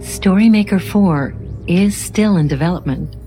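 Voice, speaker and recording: A woman acts out a female AI software assistant for an audio drama, dark and soft-edged in tone. She speaks in formal, clipped phrases with detached courtesy, inside a long reverberant wash over faint music. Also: fairly low pitch, measured pace, natural voice. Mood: flat, detached. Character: emotionless female computer software voice assistant, coolly polite.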